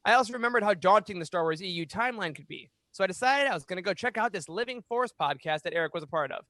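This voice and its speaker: A man in his thirties hosting a fan podcast, speaking in an animated, emphatic way into a close microphone. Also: sits high, quick pace, no echo. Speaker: podcast host. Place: small home room, indoors. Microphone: close studio microphone on boom arm.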